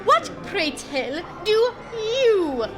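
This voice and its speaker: A high voice draws its words out slowly over music, rising and falling in grand affront. This is a prim alien society hostess, formal and haughty. Her indignation is played for comic space-opera audio drama. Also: sing-song, heavily acted. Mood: outraged.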